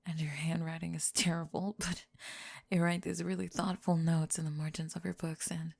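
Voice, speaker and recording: Woman doing a goth bully girl w a crush, lowish creaky voice, breathy, flustered n defensive asmr. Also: flat delivery, darkish tone. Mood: grudgingly smitten. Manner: tsundere, defensive, halting, backtracking. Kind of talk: intimate ASMR roleplay.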